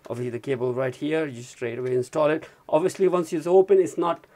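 Male product reviewer, slightly high pitch, informal hands-on demo delivery. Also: steady tone, fast talker, mellow. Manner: informal, repeatedly addresses viewers as guys. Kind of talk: hands-on gadget review demonstration. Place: indoors at a desk.

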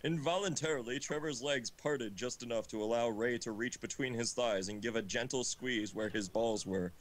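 A man's voice: mid-range voice, normal speed, slight background noise, poor audio. A man reading a story aloud in an amateur, informal way on a group livestream. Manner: breaks into laughter and asides. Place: voice chat on a game livestream.

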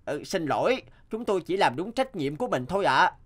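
An actor playing a dutiful, patriotic guard in an animated folktale, firm but polite, solemn and declamatory. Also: male voice, high-pitched, brisk. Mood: firm, apologetic.

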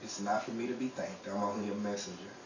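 A man's voice: lowish voice, fairly even intonation, fast talker, close to an everyday voice. A man playing a solemn, mysterious messenger who exhorts and guides in an old-time radio drama. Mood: gentle, firm. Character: solemn, mysterious male messenger giving guidance. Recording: roomy, distant, clearly noisy recording.

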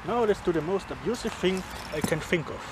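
A man's voice: high voice, expressive, fairly quick. A man in his thirties demonstrating a knife outdoors in a forest, chatting casually and chuckling between remarks, recorded on a camera mic. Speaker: bushcraft vlogger. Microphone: handheld camera mic. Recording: outdoor camera audio with background noise. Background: forest ambience.

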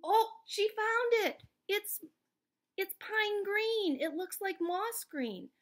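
A woman in her thirties or forties reading a picture book aloud to young children, sing-song and expressive, often asking questions. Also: very high voice, slow. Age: 30s or 40s. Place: small indoor room at home.

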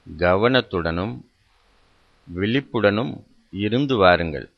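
A man with a fairly low voice leads a guided meditation. He speaks very slowly and deliberately, repeating instructions and leaving pauses. The recording sounds somewhat muffled and lo-fi. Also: lively intonation, gravelly.

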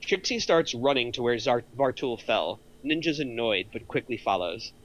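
A man's voice: mid-range voice, lively intonation, normal speed, highs cut off, a little hiss or hum. A middle-aged man reading out screenplay action lines as a narrator. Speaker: narrator of a script table read.

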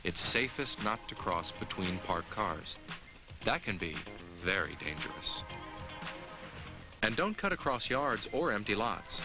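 An actor playing a friendly, earnest talking jack-o'-lantern, gently instructing children in the scripted style of a 1980s educational film. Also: male voice, lowish voice, big pitch swings, measured pace, over music. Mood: kindly, instructive.

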